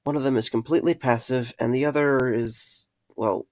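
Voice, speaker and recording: A man acting an earnest, guilt-ridden man in an audio drama, with halting, serious delivery. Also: medium pitch, steady tone, no echo, clean studio sound, close to an everyday voice. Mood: urgent, earnest. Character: earnest, guilt-ridden man confessing dark secrets to a friend.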